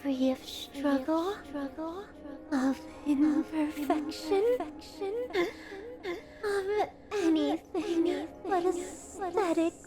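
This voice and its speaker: A woman's voice: extremely high pitch, extremely slow, pauses often, exaggerated voice. A woman playing a doting, sinister, genteel female captor in a theatrical ASMR roleplay, with an ornate, tender, possessive way of speaking. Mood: soothing, possessive. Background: background music.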